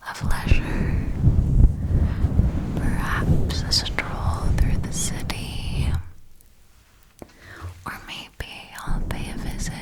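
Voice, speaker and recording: A woman playing an elegant, possessive ancient vampire mentor in a whispered ASMR roleplay, speaking in a deliberate, formal, endearing way. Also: mid-range voice, darkish tone, close to an everyday voice.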